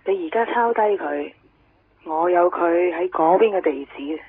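A woman acting an urgent, secretive young friend in a Hong Kong Cantonese radio drama, with a husky voice. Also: on the low side, a little slow, dry recording. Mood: urgent, confiding. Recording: tinny phone-like radio recording.

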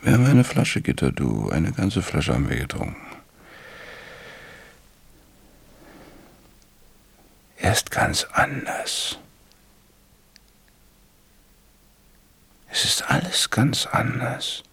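An actor playing a troubled, tipsy married teacher in a radio drama, speaking in a halting, confiding way. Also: male voice, huge pitch swings, vocal fry, fairly bright tone, slightly rough, full character voice. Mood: tipsy, pleading. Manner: halting, low-key, confiding. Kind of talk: 1970s German radio play acting.

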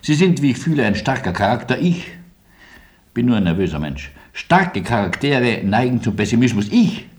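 Excitable, nervous actor as an émigré optimist. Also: male voice, medium pitch, normal speed, close to an everyday voice. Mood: nervous, fervent. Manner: nervous, theatrical, effusive. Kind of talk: German radio play acting.

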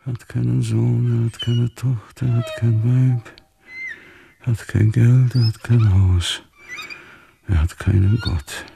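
An actor playing an embittered, grieving old father in a radio play, with a rough, husky voice and a brooding, defiant, anguished delivery. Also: mid-range voice, fairly even intonation, slow, pauses often, noisy background. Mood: desolate lament. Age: older. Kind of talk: dramatic radio play acting.

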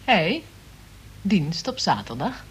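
A woman's voice: on the low side, very animated, slow pace, a little hiss or hum. An actress in a Dutch radio play playing a frank, flighty young woman with a blunt, matter-of-fact manner. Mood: breezy candour.

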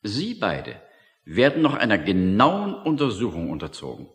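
A man's voice: on the low side, huge pitch swings, normal speed, fairly bright tone, exaggerated voice. An actor in a radio drama playing an officious, authoritative male official with a formal manner, coolly issuing orders. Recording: long echoing hall reverb.

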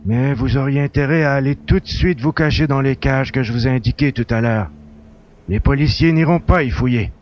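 A man playing a self-assured male spaceship pilot and hunter in a science-fiction audio drama, speaking calmly and matter-of-factly. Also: mid-range voice, fairly quick, slight background noise, natural voice. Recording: dull, lo-fi, muffled sound.

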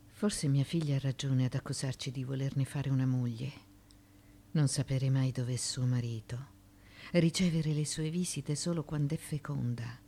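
Low, husky, proud Greek courtesan. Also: female voice, mellow, heavily acted. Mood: wistful, bitter. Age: middle-aged. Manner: emphatic, insistent. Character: proud, defiant former courtesan, mother in ancient Greece. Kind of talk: theatrical radio drama acting.

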